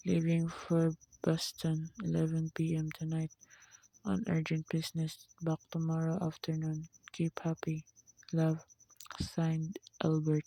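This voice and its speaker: A woman's voice: low voice, vocal fry, mellow, dry recording, exaggerated voice, low-quality recording. A woman playing a formal, impassive telegraph clerk in a radio play, reciting in a detached way.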